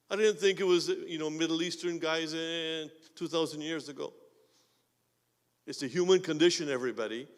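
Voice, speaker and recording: A man with a Guyanese accent preaching a sermon as pastor, swinging between quiet asides and loud emphatic bursts. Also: mid-range voice. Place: church sanctuary. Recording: long hall reverb.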